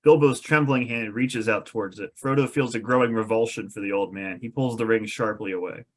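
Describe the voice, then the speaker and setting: A man's voice: on the low side, brisk. A man reading scripted narration as the narrator of stage directions.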